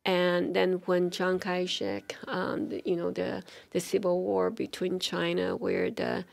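A woman's voice: lowish voice, steady tone, unhurried, vocal fry. A middle-aged woman from Taiwan, a university dean being interviewed, speaking thoughtfully with many fillers and restarts on a studio mic. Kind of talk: interview conversation. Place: small bright indoor studio with sofa. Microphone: studio mic on stand.

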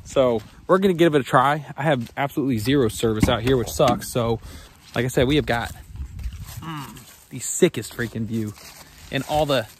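A man's voice: medium pitch, normal speed. Laid-back young man vlogging from a van. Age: young adult. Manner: laid-back, upbeat, says "freaking sick" a lot. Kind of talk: casual travel vlog. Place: in a van and outdoors on mountain roads. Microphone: phone held at arm's length. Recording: outdoor noise on a phone mic.

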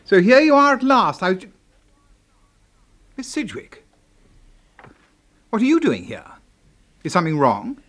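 Pompous English brigadier, theatrically pitched. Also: male voice, huge pitch swings, measured pace, long pauses, fairly bright tone, exaggerated voice. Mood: brusque, puzzled. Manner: pompous, clipped, commanding. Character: pompous, deranged brigadier boss, secretly a serial killer. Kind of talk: 1970s BBC radio horror drama acting.

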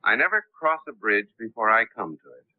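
A man playing a suave gentleman sleuth. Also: medium pitch, big pitch swings, a little fast, no room sound, close to an everyday voice. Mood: assured. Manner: suave, breezy, self-assured. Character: suave confident wealthy male gentleman detective. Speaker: wealthy gentleman hero, Lamont Cranston. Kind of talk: 1930s film acting. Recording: tinny old 1930s film soundtrack.

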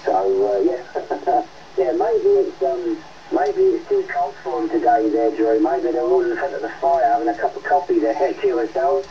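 A man's voice: very high voice, huge pitch swings, normal speed, creaky. An English man chatting casually over CB radio, his voice coming through as a radio transmission. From England. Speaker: CB radio operator. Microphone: heard over a CB radio link. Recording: muffled, distant, noisy CB radio transmission.

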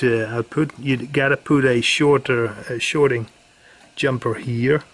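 A man giving a casual, unscripted demonstration in a matter-of-fact way, pausing mid-sentence as he goes. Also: mid-range voice. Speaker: amateur radio hobbyist presenter. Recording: loud background noise.

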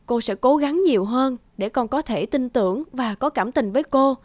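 A woman voicing a gentle, patient stepmother-to-be in a cartoon. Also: high-pitched, moderate pace, no echo. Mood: gentle, pleading. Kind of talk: cartoon voice acting.

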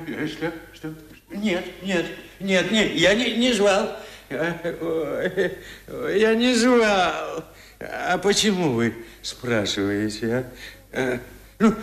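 An actor playing a suspicious, querulous old sick gentleman in a radio play, groaning, muttering and repeating himself in a halting way. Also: high-pitched, gravelly, full character voice.

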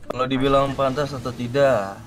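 A man playing a loyal, cautious crime lieutenant in an online game roleplay, speaking deliberately. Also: mid-range voice, fairly even intonation, over music, lightly acted.

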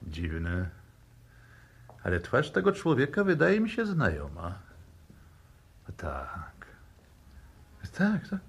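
An actor playing an uneasy, grumbling professor with a husky voice and a brooding manner in a 1960s radio drama. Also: male voice, medium pitch, wildly swooping pitch, slow, long pauses, full character voice. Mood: suspicious. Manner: hesitant, brooding.

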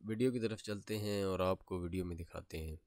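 A man's voice: low-pitched, brisk. A man with a Pakistani accent presenting a construction site update in a factual, matter-of-fact way. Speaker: video presenter.

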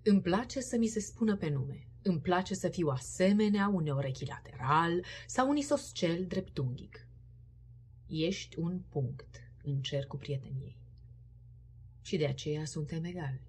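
A woman with a breathy, husky voice giving an expressive recitation of poetry. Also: lowish voice, slight background noise. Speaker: poetry reader.

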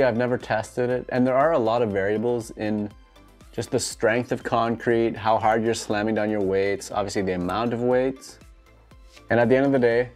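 A man's voice: medium pitch, darkish tone. A man in his thirties giving casual instructions to camera, with jokey, tongue-in-cheek asides. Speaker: host of a home gym DIY channel. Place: garage home gym, indoors. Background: music.

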